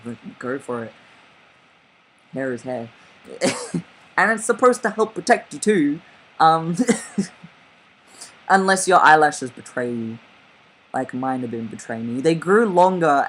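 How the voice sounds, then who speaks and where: A man talks casually to camera in a personal vlog update, his voice fairly high-set but sitting at a middling pitch. He is candid and chatty and laughs easily between remarks. A faint background hiss sits under the voice. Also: fairly bright tone, rough recording. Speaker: vlogger.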